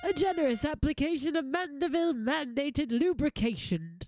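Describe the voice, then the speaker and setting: A woman voicing a flamboyant, grandiose gentleman inventor from a fantasy game, with florid, theatrical phrasing. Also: high-pitched, lively intonation, normal speed, soft background music, pitched above the performer's own voice. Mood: grand, pleased. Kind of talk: live read-aloud of game dialogue.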